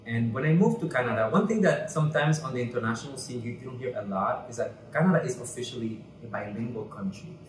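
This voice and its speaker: A man's voice: medium pitch, fast talker, hardly any pauses, noisy background. Young man speaking in an echoey room. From Cuba. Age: 20s. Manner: earnest and animated, gestures while talking. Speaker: interview guest, pageant candidate. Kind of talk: casual interview chat. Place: bright indoor room. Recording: roomy echo, mic at a distance.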